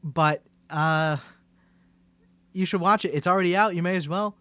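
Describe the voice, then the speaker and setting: Higher-voiced man in a dry recording. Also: moderate pace, fairly bright tone, clean tone, no echo. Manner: self-deprecating. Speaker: audio roleplay voice actor. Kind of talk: casual aside to listeners.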